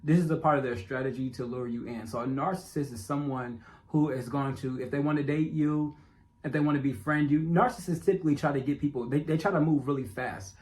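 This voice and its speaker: A man's voice: medium pitch, a little fast, darkish tone. Stuttering man talking straight to viewers. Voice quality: stutter, speech impediment. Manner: repetitive, emphatic, talks straight to viewers. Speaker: self-made documentary narrator. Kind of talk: casual direct-address monologue.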